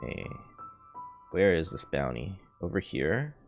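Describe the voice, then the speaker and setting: Low-voiced man streaming a game. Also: big pitch swings, unhurried. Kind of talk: casual live gameplay commentary. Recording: game music behind the voice. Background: loud video game music.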